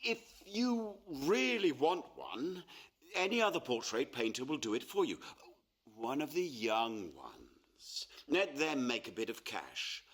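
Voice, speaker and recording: Man playing a touchy, acerbic painter father, animated delivery. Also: medium pitch, takes it slowly, husky, full character voice. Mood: scornful refusal. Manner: acerbic, theatrical. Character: touchy, proud, embittered painter father of grown daughter. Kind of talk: radio play acting.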